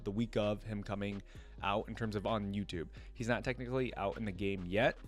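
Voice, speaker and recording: A man hosting a reaction video, commenting casually, reading things out and talking directly to his viewers. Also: fairly low pitch. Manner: conversational, addresses viewers directly. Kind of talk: casual commentary, reading on-screen text aloud.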